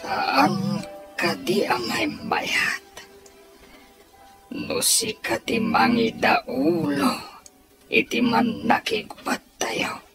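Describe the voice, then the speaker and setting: An actor in an Ilocano radio drama playing a harsh, warlike father who speaks with drawn-out, forceful scorn to a child he calls a coward. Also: medium pitch, huge pitch swings, loud music behind the voice, heavily acted. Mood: scornful, angry.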